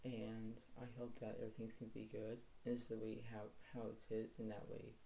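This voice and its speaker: Quiet gravelly man reading tarot. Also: monotone, slight background noise, poor audio. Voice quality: low, quiet voice. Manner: rambling, repetitive filler phrases; lists cards in quick bursts. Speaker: tarot reader. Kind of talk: solo tarot reading video.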